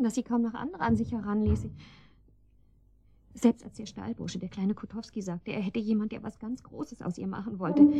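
Casting: anxious hostage wife for radio drama; soft, dark, husky tone, hesitant and pleading. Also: female voice, mid-range voice, no echo. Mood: proud, agitated. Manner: hesitant, pleading, breaks off sentences. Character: anxious captive wife, pleading and probing her guards. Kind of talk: German radio drama acting.

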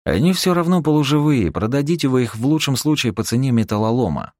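Casting: man acting a shrewd, stubborn audiobook boss, persuasive and slangy, raised pitch with lively swings. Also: fast talker, no room sound, studio quality, close to an everyday voice. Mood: insistent. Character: shrewd male boss haggling briskly, stubborn and practical.

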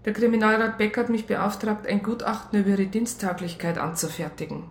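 An actress playing a strict police psychologist who narrates a radio crime drama, matter-of-fact. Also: female voice, mid-range voice, brisk, close to an everyday voice. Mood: stern, formal. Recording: roomy radio play studio sound.

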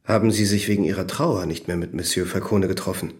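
Actor as a formal, probing examining magistrate. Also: male voice, low-pitched, fairly even intonation, quick pace, natural voice. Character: persistent, methodical male examining magistrate. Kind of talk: German radio drama acting.

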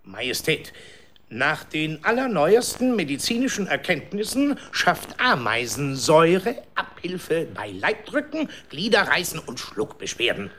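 An actor playing a sly, obsequious royal physician in a children's radio drama, pompous and wheedling. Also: male voice, fairly high pitch, wildly swooping pitch, unhurried, fairly bright tone, a little hiss or hum, full character voice.

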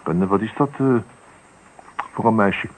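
An actor playing a grumbling, resigned middle-aged father in a radio play, gruff, muttering and dismissive. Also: on the low side, normal speed, natural voice. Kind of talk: naturalistic radio play acting. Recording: noisy old radio drama recording.

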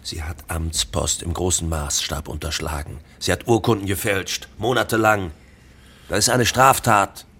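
A man's voice: sits low, steady tone, moderate pace, a bit bright, husky, exaggerated voice. An actor in a radio play playing a hard-nosed, irate male boss, blunt and coarse in manner. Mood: indignant.

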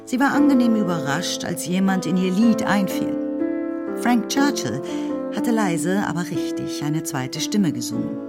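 A woman narrating a radio drama in a measured, literary storytelling manner. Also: on the low side, big pitch swings. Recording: studio voice over loud music.

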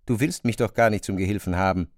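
A man narrating a literary radio play, reading the characters' dialogue in a lively, dramatic way. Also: on the low side, fast, no echo, clean studio sound.

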